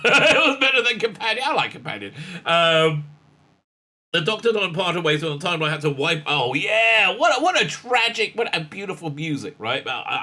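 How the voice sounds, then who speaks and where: A man hosting a fan news show, reading headlines and breaking off into excitable asides with many fillers and laughs. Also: a little high. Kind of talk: casual commentary reading news aloud.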